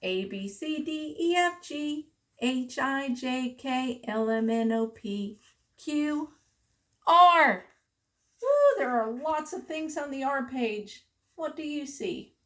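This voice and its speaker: A woman preschool teacher reading the alphabet aloud to children in simple, deliberate, sing-song phrases with questions. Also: high-pitched, animated, fairly bright tone.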